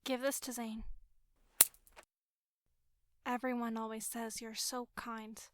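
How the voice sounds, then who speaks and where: A woman's voice: a little high, level tone, slow. An actress playing an embittered, worn young woman in an audio drama, speaking in a curt, bitter way. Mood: bitter, resigned.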